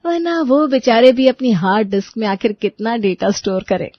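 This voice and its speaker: A woman's voice: on the high side, expressive, moderate pace, no room sound, muffled recording. A woman narrating a scripted comic story, chatting to the listeners as she tells it.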